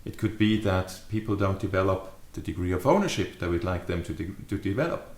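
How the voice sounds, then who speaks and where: A man in his fifties with a lowish voice talks reflectively to camera, like an adviser explaining a practice. His phrasing is measured and deliberate. The sound carries clear echo from a furnished room indoors. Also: animated, a little mellow. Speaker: leadership adviser. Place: small furnished study or living room, indoors. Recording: echoey living room.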